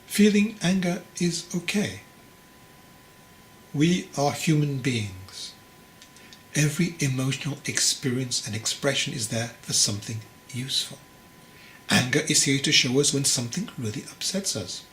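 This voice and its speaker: A man voicing a wise, patient old monk master, teasing and instructive, in a measured delivery for a storybook. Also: mid-range voice, lively intonation, a bit bright. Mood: calm, instructive. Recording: clearly noisy recording.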